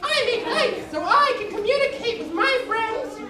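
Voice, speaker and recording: A woman's voice: extremely high pitch, lively intonation, moderate pace, crowd noise. A woman voicing a cheery sea creature who teaches children in a puppet show, exclaiming and explaining. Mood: cheery, instructive. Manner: exclamatory, instructive. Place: large indoor hall with live audience. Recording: echoey hall, far mic, audience noise.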